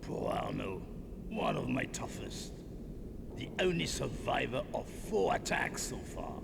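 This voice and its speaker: An actor playing a shady male underworld fixer in a video game, with a deep gravelly voice and a guarded, curt, menacing manner. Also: big pitch swings, measured pace, full character voice. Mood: grim. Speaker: character in a video game cutscene. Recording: game audio with long reverb and noise.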